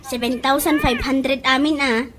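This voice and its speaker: A woman's voice: high-pitched, steady tone, measured pace. A woman with a Filipino accent playing an eager, grateful young student and little sister in a radio comedy drama. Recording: faint background noise.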